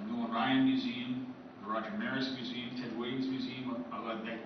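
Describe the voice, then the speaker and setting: Male presenter, informal and halting talk, distant mic, heavy room echo. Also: medium pitch. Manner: halting and conversational, with asides. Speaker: speaker giving a convention presentation. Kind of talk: informal lecture to a live audience. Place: convention meeting room with live audience. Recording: echoey, noisy, dull room recording from far off.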